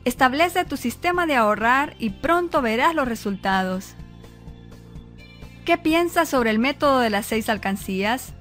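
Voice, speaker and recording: A woman's voice: sits high, lively intonation, measured pace, a bit bright. A woman reading scripted instructional narration for an explainer video. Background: background music.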